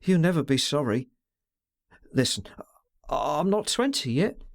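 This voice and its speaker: A man's voice: a little high, very animated, a little slow, creaky, no echo, studio quality, full character voice. A man reading a novel aloud, voicing a distressed young woman under twenty who speaks hurriedly, stammers and pleads ardently. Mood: pleading.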